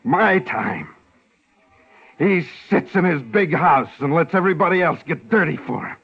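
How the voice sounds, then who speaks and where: An actor playing a wounded, bitter escaped convict bent on revenge, in tough, brooding 1950s radio drama style. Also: male voice, a little high, lively intonation, normal speed, slightly rough. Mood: brooding, menacing. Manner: tough, brooding gangster talk. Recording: muffled, worn 1950s radio recording.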